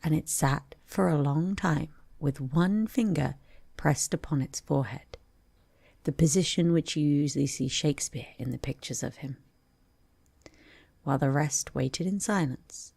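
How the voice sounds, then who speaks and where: A woman's voice: deep voice, unhurried, vocal fry, warm tone, husky, no echo. A woman narrating a children's bedtime story as storyteller.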